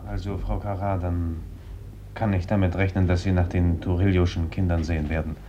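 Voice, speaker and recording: Actor as an earnest, low-voiced priest. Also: male voice, flat delivery, dull audio, very noisy, natural voice. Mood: evasive. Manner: measured, preacherly, formal. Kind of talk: German radio play acting.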